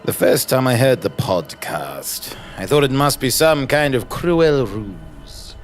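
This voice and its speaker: A self-important gentleman sleuth narrates a mock-noir audio drama. His delivery is deliberate and grandiose, swinging widely in pitch at a medium register, with faint music underneath. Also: big pitch swings. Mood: grave, portentous. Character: pompous, self-regarding male investigative journalist narrating his case.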